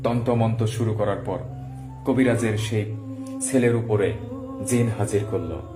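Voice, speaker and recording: A man's voice: lowish voice, moderate pace. A man narrating a ghost story in the first person as a horror storyteller. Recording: echoey voice over music bed.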